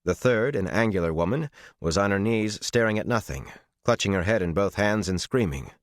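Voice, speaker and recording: A man reading a dramatic fantasy audiobook as its narrator, with a measured, intense and expressive delivery. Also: fairly low pitch, no room sound, clean studio sound.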